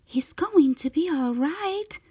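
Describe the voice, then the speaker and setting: A woman's voice: high voice, lively intonation, slow, a little mellow, voice pushed up. A woman voicing an eager, tender-hearted young mermaid princess in a children's storybook, with gasping, emotional delivery. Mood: grateful.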